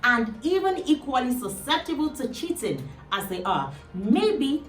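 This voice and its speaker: A woman's voice: high voice, big pitch swings, unhurried. A Nigerian-accented woman giving her opinions in an interview. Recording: echoey, distant mic, faint music.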